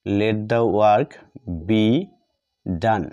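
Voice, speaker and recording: A man's voice: on the low side, steady tone, drawn-out words, warm tone, clear, resonant tone, no echo, muffled recording. A man with a Bengali accent teaching grammar like a classroom teacher, repeating phrases step by step as if dictating while he writes. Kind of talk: classroom lesson, explaining while writing out examples.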